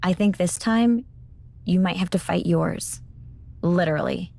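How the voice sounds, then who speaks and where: A woman playing a blunt, knowing mentor in a video game cutscene, explaining in a measured, pointed way. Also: on the low side, creaky, warm tone. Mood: grave. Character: knowing, blunt female mentor in a supernatural wrestling drama.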